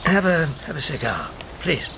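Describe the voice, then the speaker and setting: An actor playing a principled, combative elderly former wartime agent in a radio drama, pointed and sardonic. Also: male voice, a little high, big pitch swings, normal speed, creaky, background noise. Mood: wry, jovial. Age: older. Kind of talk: broadcast radio drama acting.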